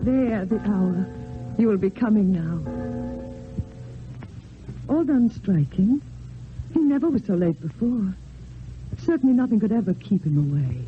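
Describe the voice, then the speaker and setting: A woman playing a grieving, devoted widow in a formal, measured old-time radio drama style. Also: mid-range voice, lively intonation, music in the background, natural voice. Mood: gently expectant. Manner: formal, measured, theatrical diction. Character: Roxanne, grieving devoted French widow living in convent. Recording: tinny, noisy old radio recording.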